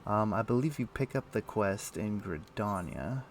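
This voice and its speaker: A man with a fairly low voice and plenty of vocal fry talks casually through a game stream. He thinks aloud haltingly, often muttering to himself between explanations. Faint music plays behind him. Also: expressive. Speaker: game livestreamer. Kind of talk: casual livestream chat with gameplay commentary.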